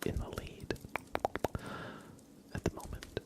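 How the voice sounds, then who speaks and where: Slow whispering man, ASMR livestream. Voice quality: breathy, mostly unvoiced whisper. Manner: hushed, unhurried, deliberate. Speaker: ASMR live streamer and host.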